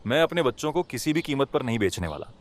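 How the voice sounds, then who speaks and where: A man's voice: mid-range voice, big pitch swings, quick pace, close to an everyday voice. A man playing a tender-hearted poor villager in a cartoon moral story, with exclamatory, rustic colloquial speech. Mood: firm. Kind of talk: animated moral story acting.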